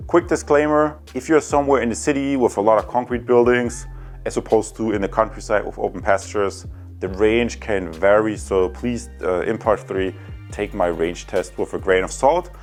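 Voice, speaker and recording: A man presenting a casual, explanatory tech review, speaking enthusiastically and directly to viewers. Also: on the low side.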